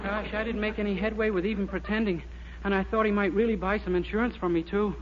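A man's voice: high-pitched, steady tone, fast talker, lightly acted. An actor playing a naive, eager and tactless young American insurance salesman in a 1940s radio sitcom, guileless and blundering in his talk. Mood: sheepish, downcast. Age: young adult. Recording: noisy, dull old 1940s radio recording.

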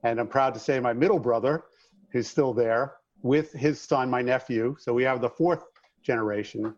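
A man's voice: mid-range voice, normal speed, dull audio. A middle-aged man from Long Island with a slight New York accent, chatting as a guest in an interview in an upbeat, folksy way. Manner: upbeat, folksy, addresses host by name. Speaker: guest, trades business owner. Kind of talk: casual interview chat.

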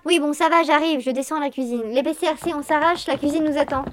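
A woman's voice: sits high, fairly even intonation, fast, slight background noise, natural voice. A woman playing a fed-up, sweary Mother Christmas turned hacker, sardonic and slangy, in a comic audio drama. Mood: offhand, wry. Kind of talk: comic audio fiction acting.

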